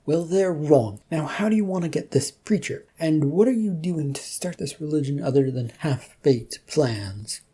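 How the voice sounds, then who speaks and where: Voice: mid-range voice, expressive, moderate pace, hardly any pauses, warm tone, husky. A narrator playing a bored, mischievous male god with a dry, challenging manner in a story reading. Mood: bored, dismissive.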